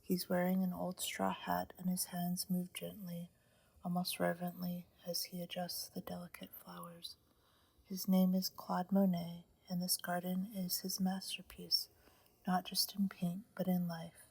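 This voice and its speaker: A woman guiding a sleep meditation in a slow, soothing whisper, close to the microphone. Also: lowish voice, completely flat delivery, gravelly, a little mellow, breathy edge, no echo. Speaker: meditation guide.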